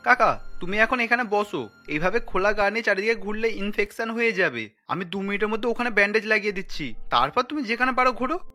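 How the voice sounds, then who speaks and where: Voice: mid-range voice, lively intonation, fast, sharp tone. An actor playing a kind, principled young son in a cartoon, speaking earnestly and firmly as he reasons. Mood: concerned, firm. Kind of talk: cartoon dialogue acting. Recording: light music under the voice.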